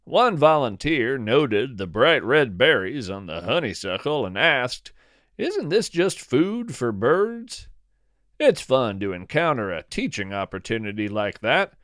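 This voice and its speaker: Guy hosting a story podcast, takes his time, pitch moves around a lot, deadpan and whimsical. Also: unhurried, dry recording, clean studio sound. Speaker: podcast host and narrator. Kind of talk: scripted first-person storytelling narration.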